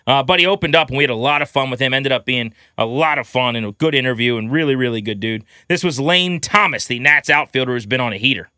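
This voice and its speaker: A man's voice: medium pitch, fast talker, few pauses, pushed and bright, clean tone. A man hosting a sports talk show, presenting segments and interviewing guests in an upbeat radio style.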